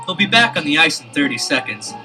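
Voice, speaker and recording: Excitable, high-voiced teenage boy detective. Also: quick pace, music in the background, poor audio. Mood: eager, curious. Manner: earnest, expressive, questioning. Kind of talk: 1970s children's audio adventure acting.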